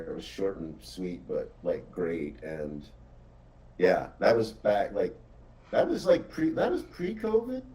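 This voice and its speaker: A middle-aged man with a fairly low voice. He talks informally and with enthusiasm, encouraging the people he works with. He sounds slightly off the microphone. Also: mellow, recorded from a distance, poor audio. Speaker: game developer and publisher. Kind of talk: casual developer chat.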